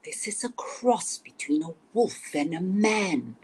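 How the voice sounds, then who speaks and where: A woman voicing an ill, worried old grandmother in a storybook poem, whispery and slow. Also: lowish voice, big pitch swings, darkish tone, voice pushed up, full character voice. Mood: worried warning. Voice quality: whispery, breathy.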